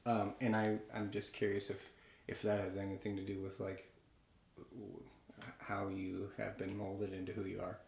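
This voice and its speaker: A man's voice: low voice, moderate pace, vocal fry, a little hiss or hum. Man, casual interviewer, joking, probing, roomy sound. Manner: relaxed, joking, probing questions. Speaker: podcast host and bar owner.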